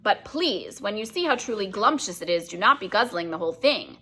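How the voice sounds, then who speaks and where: A woman voicing a big, gentle male giant who talks earnestly in mangled grammar. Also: medium pitch, lively intonation, fairly quick, husky. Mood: sly.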